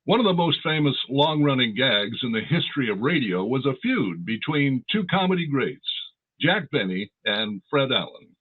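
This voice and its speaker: Radio announcer: lively storyteller, husky, thin phone-like sound. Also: male voice, medium pitch, moderate pace. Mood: storytelling. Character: old-time radio announcer telling show-business history. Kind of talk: scripted radio narration.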